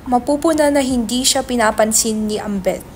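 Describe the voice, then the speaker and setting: A woman's voice: fairly high pitch, normal speed, a little hiss or hum. A woman reading stage directions as scripted narration in a dramatic reading.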